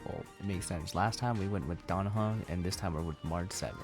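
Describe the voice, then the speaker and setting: A man's voice: deep voice, a little fast. A man giving casual, off-the-cuff reaction commentary as a gaming video host, with game music behind him.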